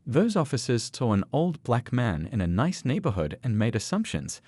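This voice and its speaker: A man voicing a dignified old grandfather in a narrated story, calm, principled and plain-spoken. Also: mid-range voice, moderate pace, slightly rough, no echo. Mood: calm, resolute.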